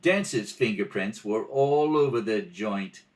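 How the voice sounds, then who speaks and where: Man playing a brisk police lieutenant, lively pitch. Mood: brisk, eager. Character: gruff, brisk police lieutenant in 1930s mystery. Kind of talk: 1940s radio mystery acting, rehearsal read-through.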